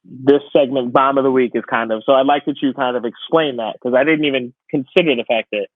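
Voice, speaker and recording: Male co-host, medium pitch, dry phone-like sound, colloquial and emphatic casual chat. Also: steady tone, brisk, no room sound. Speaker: podcast co-host. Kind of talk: casual conversational podcast chat. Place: remote, away from studio. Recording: tinny, phone-like internet call audio.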